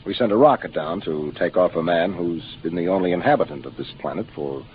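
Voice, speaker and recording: A man's voice: low voice, animated, a little fast. An American man playing a seasoned, matter-of-fact spaceship captain in 1950s radio drama style, commanding as he explains things. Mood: grave, explanatory. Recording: muffled, noisy 1950s radio broadcast.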